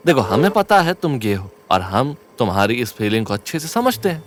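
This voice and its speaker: Man acting cheeky comic sidekick, very lively pitch. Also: brisk, slight background noise, close to an everyday voice. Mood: playful. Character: cheeky, comic young male sidekick, teasing and food-loving. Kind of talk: Hindi audio drama acting.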